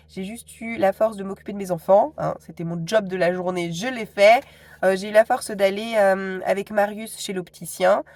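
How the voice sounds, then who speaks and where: A woman's voice: medium pitch, darkish tone, no echo. A woman in her thirties with a cold, chatting casually to her phone camera at home, hesitant and full of asides. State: stuffy with a cold. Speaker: vlogger.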